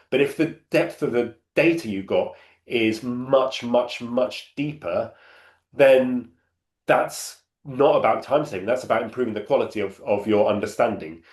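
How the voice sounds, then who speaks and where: Man explaining in an echoey room. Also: fairly low pitch. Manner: thoughtful, restarts phrases while explaining. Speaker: panel speaker. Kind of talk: conversational Q&A answer.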